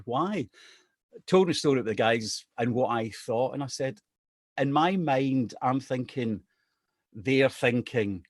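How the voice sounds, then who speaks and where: A man's voice: mid-range voice, no room sound, studio quality. A middle-aged man from Scotland, telling a personal anecdote in a casual, joking way with restarts. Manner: chatty, self-deprecating humour, restarts. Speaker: storyteller.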